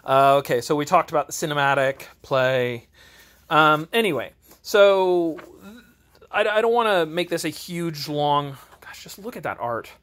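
A man's voice: a little high, fairly bright tone. Rambling man casually reviewing a tabletop game. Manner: rambling, self-deprecating, enthusiastic. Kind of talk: casual off-the-cuff review chat. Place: home garage.

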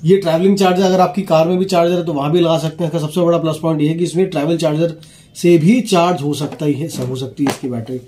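A man's voice: on the high side, fairly even intonation, quick pace, dark tone, a little hiss or hum. A man in his thirties giving a casual, explanatory product demonstration, dropping English phrases into his speech. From India. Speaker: product reviewer and walkie-talkie seller. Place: small office, indoors.

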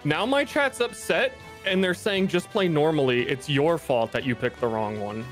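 A man hosting a game stream, his voice bouncing up and down as he laughs and jokes with friends. His pitch sits a bit high. Game music plays clearly behind him. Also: normal speed. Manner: animated, laughing, playfully complaining about chat. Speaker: streamer host. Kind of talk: casual gaming chat with friends.

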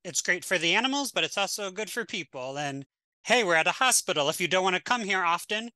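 A woman hosts a podcast in a chatty, playful way and scatters "like" through her questions. Her voice is bright, and the recording is dry and close. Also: fairly low pitch, expressive, fast talker, no room sound. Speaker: podcast host. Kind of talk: casual conversational interview.

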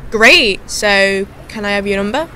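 A woman's voice: mid-range voice, measured pace, sharp tone, slight background noise, poor audio. A young woman playing a friendly, forward student in a natural audio drama style. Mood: friendly, eager.

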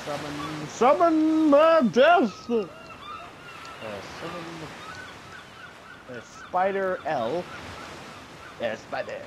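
Young man, host of a game session, lively and animated, slightly high voice, noisy game audio. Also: extremely slow, pauses often. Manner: animated, swearing, laughing. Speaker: server host running game commands. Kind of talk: casual gaming chat.

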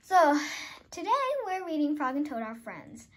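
Young-sounding female read-aloud host, high and lively, informal, faint noise behind. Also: normal speed. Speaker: host and narrator. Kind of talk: children's storybook read-aloud.

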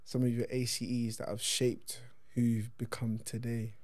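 A man's voice: medium pitch, flat delivery, moderate pace, a little mellow, no room sound. A man hosting a podcast, asking questions in a casual, conversational interviewing style. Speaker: podcast host.

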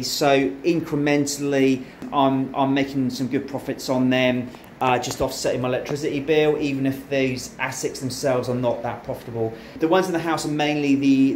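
An Australian man with a mid-range voice talks loosely and chattily, often stopping to restart his sentences. He sounds like he is walking a camera through a cluttered room. The space is echoey, and a faint machine hum sits underneath. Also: mid-range voice. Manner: relaxed, chatty, frequent fillers and restarts. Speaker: crypto mining channel host. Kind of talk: casual walkthrough vlog. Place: home room full of mining rigs, indoors.

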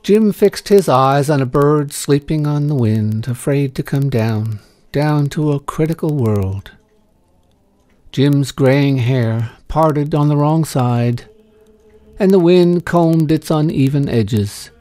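A man reading a short story as scripted narration, in a gentle, measured storytelling manner. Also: fairly high pitch, no echo. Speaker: story reader.

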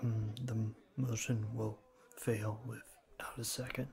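A man playing a shy young classmate in an ASMR roleplay, speaking formally and stiffly with hesitant stammers and a cool, deadpan manner. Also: on the low side, takes it slowly. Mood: awkward, nervous. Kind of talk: ASMR roleplay, scripted one-sided dialogue.

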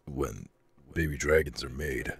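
Casting: male actor as a caring, shy dragon for ASMR roleplay; extremely low register, slow, halting delivery. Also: fairly bright tone, exaggerated voice. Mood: gentle, protective. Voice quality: gravelly, husky, very deep. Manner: hesitant, halting, intimate.

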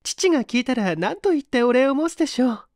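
A man's voice: very high-pitched, big pitch swings, fast talker, a little mellow, dry recording, clean studio sound, pitched above the performer's own voice, exaggerated voice. A man voicing a polite, grateful young lady with genteel, deferential speech in a story reading. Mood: relieved, grateful.

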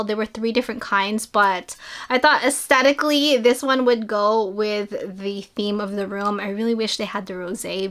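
Woman, 30s, home vlogger; upbeat, filler-heavy chat, a touch high-pitched. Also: fairly bright tone. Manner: chatty and upbeat, with frequent fillers. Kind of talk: casual haul vlog chat. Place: small bedroom filming space at home.

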